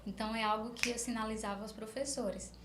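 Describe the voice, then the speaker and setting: A woman's voice: on the high side, little pitch movement, quick pace. A woman with a Northeastern Brazilian accent, a speech therapist answering in a conversational interview, explaining things through examples. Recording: roomy echo, faint background noise.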